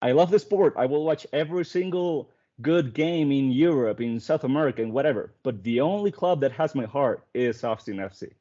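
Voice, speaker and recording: A man's voice: mid-range voice, lively intonation, brisk, few pauses, dark tone. Earnest young man, hesitant. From Venezuela. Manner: earnest, hesitant, many ums. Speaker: guest writer. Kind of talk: casual chat. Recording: dull lo-fi sound.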